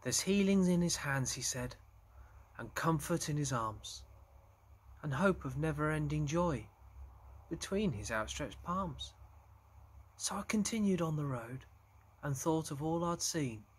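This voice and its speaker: Man, husky, fairly high voice, slow verse reading. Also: animated. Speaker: church group leader reading aloud. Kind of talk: ballad poem recitation.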